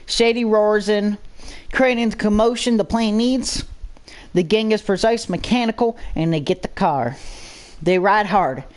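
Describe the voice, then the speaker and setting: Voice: mid-range voice, unhurried. A narrator reading a scripted action story aloud in a dramatic style.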